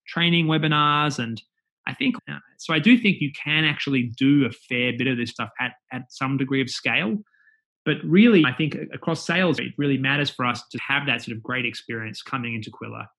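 A man's voice: mid-range voice, pushed and bright. A man with an Australian accent, a company executive talking as a guest in a casual interview, chatty and full of fillers and restarts.

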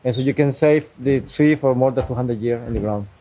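Mellow-voiced Costa Rican bamboo teacher. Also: male voice, mid-range voice, a little hiss or hum, low-quality recording. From Costa Rica. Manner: halting, simple non-native English. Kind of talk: casual interview answers. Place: outdoors on a farm.

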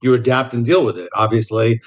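A man's voice: mid-range voice, brisk. A man hosting a podcast, introducing the show and interviewing a guest. He often stumbles over repeated words. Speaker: podcast host.

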